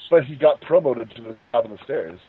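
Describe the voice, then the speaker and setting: Husky, lively man on a tinny line. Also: mid-range voice, fairly quick, no echo. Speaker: co-host of a movie riffing show. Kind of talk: casual mocking film commentary.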